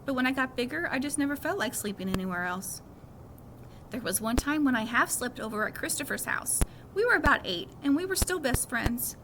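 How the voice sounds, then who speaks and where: Higher-pitched woman, read-aloud storytelling, children's novel, clearly noisy background. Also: expressive, a little fast. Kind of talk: read-aloud storytelling in a first-person child narrator's words.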